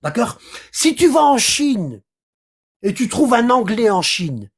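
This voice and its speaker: A livestreamer in casual commentary, explaining scripture in an animated way and often checking in with short questions to the listener. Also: mid-range voice, slow pace, breathy edge. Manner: animated, acts out little dialogues, keeps checking in with "hein?" and "d'accord?". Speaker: livestreamer explaining Quran verses.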